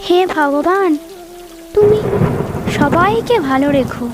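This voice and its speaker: A tiny, very high-pitched wistful bird wife. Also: female voice, animated, slow pace, over loud music, exaggerated voice. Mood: worried. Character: young married female tuntuni bird, wistful, longing for a child. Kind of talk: children's cartoon voice acting.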